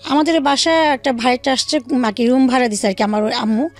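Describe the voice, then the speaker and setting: A woman with a Bangladeshi accent telling her own life story in an interview, haltingly, with pauses and repeated phrases. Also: high voice. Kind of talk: personal storytelling in a radio interview. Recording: faint music bed under the voice. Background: soft background music.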